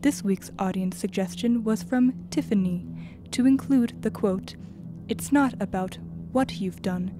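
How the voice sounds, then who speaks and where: A woman reading a scripted announcement in a formal, measured manner. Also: medium pitch, over music, natural voice.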